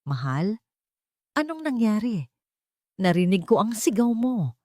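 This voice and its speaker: A woman's voice: mid-range voice, big pitch swings, unhurried, mellow, no echo, studio quality, voice pushed up. A woman voicing a scheming young woman who feigns sweet, plaintive concern in an audio story. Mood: tender concern.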